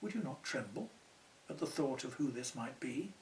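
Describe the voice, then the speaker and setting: A man recites a poem in the voice of a proud, secretive dead pharaoh speaking from his tomb, in a measured and solemn way. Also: medium pitch, expressive, a little mellow, slight background noise, close to an everyday voice. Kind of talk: poetry recitation. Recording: voice somewhat distant from the mic.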